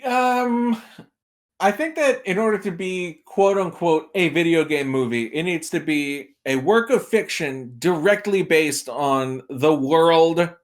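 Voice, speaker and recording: A man's voice: fairly high pitch, slow. A man chatting casually as a podcast guest and film expert, with a wry, tongue-in-cheek manner. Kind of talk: casual chat about movies.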